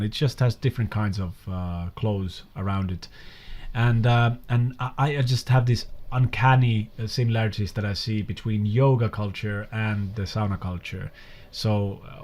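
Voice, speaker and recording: A man, hosting a podcast interview in a thoughtful, halting way with many fillers. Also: on the low side, darkish tone. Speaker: podcast host. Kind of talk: conversational interview.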